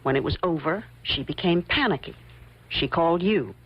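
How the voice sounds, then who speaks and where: A woman's voice: lowish voice, lively intonation, normal speed, husky. An actress playing a shrewd, coolly confident woman investigator in an old-time radio mystery, insistent and pointed. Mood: pointed, accusing. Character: shrewd, probing woman investigator laying out her theory. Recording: muffled old radio drama recording.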